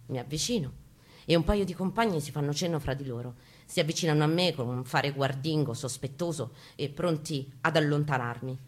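A woman giving a theatrical, expressive first-person reading from a book, in a storytelling style. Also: low voice. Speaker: reader performing a book excerpt. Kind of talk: dramatic first-person literary reading with guitar. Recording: live reading over acoustic guitar. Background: acoustic guitar music.

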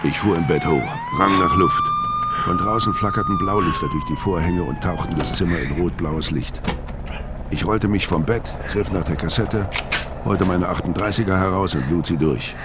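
Very deep-voiced world-weary hitman. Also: wildly swooping pitch, heavy background noise. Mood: tense, watchful. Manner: laconic, deliberate, matter-of-fact. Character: world-weary male hitman on the run, laconic, brooding. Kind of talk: first-person noir narration in German radio drama. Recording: studio radio drama with music and street ambience behind.

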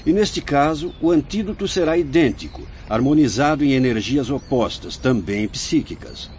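A man acting a wise, patient spirit mentor in a radio drama, with a Brazilian accent and measured, preaching delivery. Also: medium pitch, animated, darkish tone, lightly acted. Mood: solemn, instructive. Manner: measured, preaching, formal oratory. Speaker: actor. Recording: dull lo-fi radio recording, background noise.

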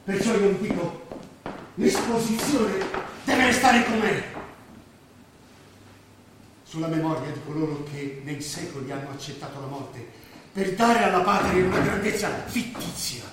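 Solemn, echoey old professor. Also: male voice, high voice, expressive, unhurried, pauses often, recorded from a distance. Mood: grave, resolute. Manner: grave, rhetorical, declamatory. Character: solemn idealistic old professor defending the poor. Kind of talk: theatrical Italian stage oratory. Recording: echoey stage hall, faint noise.

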